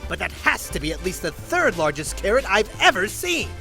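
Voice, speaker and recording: A wry, proud cartoon hero and weary dad, voiced by a man. His slightly high voice swoops theatrically between bravado and understatement, with music clearly behind it. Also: huge pitch swings, a little fast, exaggerated voice. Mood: unflappable bravado. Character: proud, unflappable superhero turned weary office-worker dad. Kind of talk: cartoon comic dub acting.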